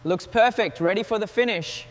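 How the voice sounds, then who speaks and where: A man's voice: high voice, normal speed. A man giving short instructions and remarks as part of a truck restoration team.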